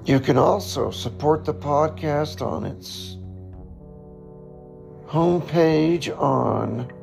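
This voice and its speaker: Hoarse, gravelly man talking slowly. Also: a little high, a little mellow, soft background music. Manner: slow, halting, pausing to reflect. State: hoarse, raspy voice. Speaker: podcast host. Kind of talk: solo reflective podcast monologue.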